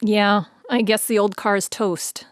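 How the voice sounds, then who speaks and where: A woman's voice: medium pitch, steady tone, slow pace, no echo, clean studio sound, lightly acted. A woman playing a sensible, friendly radio show host in a radio play, speaking in a casual, matter-of-fact way.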